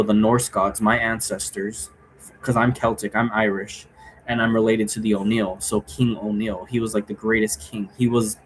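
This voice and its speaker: A man hosting in a casual, rambling, explanatory way, halting often and checking in with the listener. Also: fairly low pitch, poor audio. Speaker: host explaining role-play game rules. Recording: noticeable background noise.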